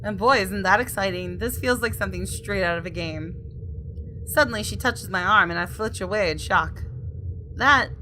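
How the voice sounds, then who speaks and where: A woman with a bright, forward voice reads game narration like an expressive storyteller, slipping into casual asides. Her pitch sits in a middle range. There is faint noise and ambience behind her. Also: normal speed, poor audio. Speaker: gaming video host. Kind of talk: reading visual novel narration aloud with casual asides.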